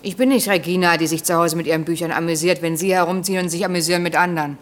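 An actress in a German radio drama playing a blunt, touchy, indignant factory worker. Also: female voice, lowish voice, steady tone, rapid-fire, lightly acted. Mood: indignant, bitter. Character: feisty, indignant female East German factory machine worker.

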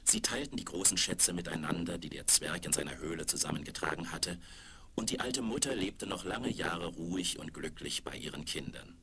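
Male narrator, very low gravelly voice, scripted children's fairy tale, crackly old record with loud noise. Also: moderate pace. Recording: crackly, very noisy 1970s vinyl record.

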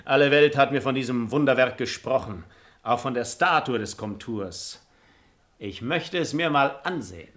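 Man playing a cool proud seducer nobleman, radio drama, medium pitch, very formal and haughty. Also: moderate pace, fairly bright tone, close to an everyday voice. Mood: nonchalant. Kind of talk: German classic radio play acting.

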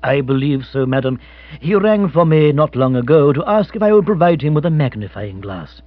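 A man voicing a grave, formal valet who speaks in a measured, deferential way. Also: a little high, very animated, no echo, phone-quality sound. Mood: composed, deferential.

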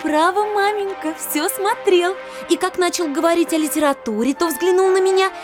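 A woman's voice: extremely high pitch, normal speed, music in the background. An actress playing a girlish, lovestruck young daughter in a classic comedy audio drama, pouting and insisting. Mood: lovestruck, insistent. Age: young adult.

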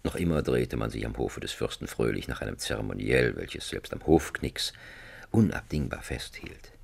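A man narrating a satirical radio drama as a wry, mock-solemn storyteller, with a gravelly, husky voice. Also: rumbling low, lively intonation, moderate pace, heavily acted. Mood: wry, serene. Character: wry, leisurely male storyteller of a satirical fairy tale. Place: radio studio.

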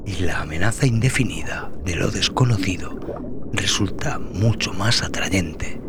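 A man narrating dramatic scripted horror as a podcast host, brooding, theatrical and deliberate in his delivery. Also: low voice, expressive, background noise. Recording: loud music under the voice.

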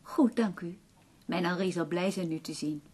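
An actress playing the chatty, simple-hearted wife of a sick poet in a radio drama, animated and artless. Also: female voice, fairly low pitch, normal speed, breathy edge. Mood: chatty, welcoming.

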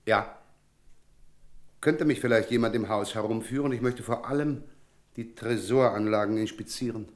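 A man's voice: lowish voice, moderate pace, pauses often, lightly acted. An actor playing a jovial, inquisitive male auditor in a radio play, chatty and ingratiating with little jokes. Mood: smooth, chatty.

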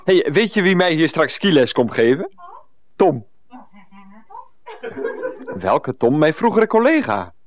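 An actor playing an earnest, pressured middle-aged ex-teacher with a Netherlands Dutch accent in a radio comedy drama, wordy and hesitant. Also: male voice, fairly high pitch, sing-song, takes it slowly, long pauses. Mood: defensive, flustered. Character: Freek, pressured 39-year-old ex-teacher, earnest, henpecked by mother.

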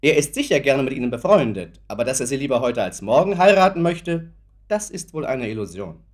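An actor playing a sober, patronizing lawyer in a German radio play, speaking formally and in a matter-of-fact, measured way. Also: male voice, mid-range voice, very animated, lightly acted. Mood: skeptical, blunt. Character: sober, skeptical male lawyer, brisk and patronizing.

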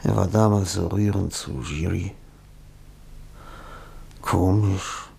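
An actor playing an ailing, exhausted middle-aged novelist, irritable and wistful, sighing and groaning in a German radio drama. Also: male voice, sits low, fairly even intonation, slow, gravelly, mellow, slightly rough, heavily acted. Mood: wistful, weary. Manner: weary, groaning, sighing, breaking into whispers.

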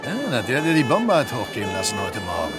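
Blunt policeman over loud music. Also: fairly low pitch, sing-song, quick pace. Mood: brusque. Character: brusque, matter-of-fact male police officer. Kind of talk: radio play acting.

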